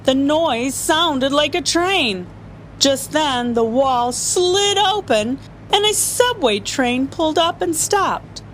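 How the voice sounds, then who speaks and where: A woman's voice: high voice, expressive, slow pace, a bit bright, slight background noise. A woman reading a children's picture book aloud as a story-time reader, playful and often chuckling.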